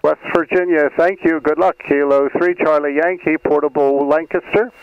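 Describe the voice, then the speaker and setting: A man's voice: a little high, fairly even intonation, moderate pace. A man operating amateur radio in a contest, exchanging short procedural contacts with phonetic spelling. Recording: dull, lo-fi audio with noise.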